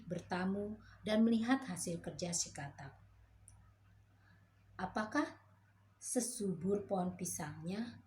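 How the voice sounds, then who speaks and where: A woman narrating a children's fairy tale in a slow, clear storybook manner. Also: lowish voice, darkish tone, a little hiss or hum.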